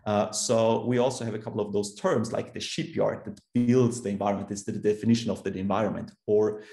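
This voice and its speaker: A man's voice: lowish voice, gravelly, dark tone, roomy sound. A man in his thirties from Austria with an Austrian German accent, giving a technical conference talk over a video call, explaining steadily with fillers and restarts. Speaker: conference speaker.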